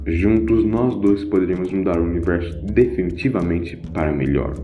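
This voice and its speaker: A man playing a grandiose renegade celestial being in an audio drama, declaiming with dark, dramatic weight. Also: low-pitched, normal speed, natural voice. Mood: grandiose, zealous. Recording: boxy, echoey lo-fi with music.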